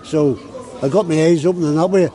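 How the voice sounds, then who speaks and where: A man's voice: a little high, a little fast, warm tone, a faint crowd. An older man, coughing often and talking in a rambling way in a street interview on a busy city street. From Northern Ireland.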